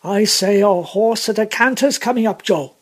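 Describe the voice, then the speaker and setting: A man voicing a gruff, exasperated working coachman in an audiobook, loud, blustering and complaining. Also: extremely high pitch, animated, a little slow, voice pushed up. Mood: wary. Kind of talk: audiobook character voice.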